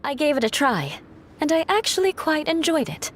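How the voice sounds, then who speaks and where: Proud, animated noblewoman warrior. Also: high voice, normal speed, a little hiss or hum. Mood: wry, proud. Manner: poised, formal, haughty. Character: proud, haughty, self-reliant young noblewoman warrior. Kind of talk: fantasy video game dialogue. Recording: game audio with faint music.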